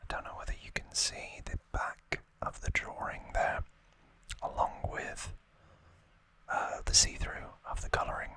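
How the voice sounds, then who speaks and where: Man whispering ASMR, sharp and breathy. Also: a little slow. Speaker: ASMR video host. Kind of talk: ASMR, unscripted unboxing commentary.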